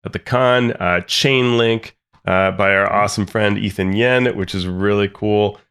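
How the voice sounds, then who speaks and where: A man's voice: on the low side, fairly even intonation, unhurried, a bit bright, clear, resonant tone. A man co-hosting a podcast in casual, upbeat chat, his voice thick and congested from a cold. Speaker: podcast co-host.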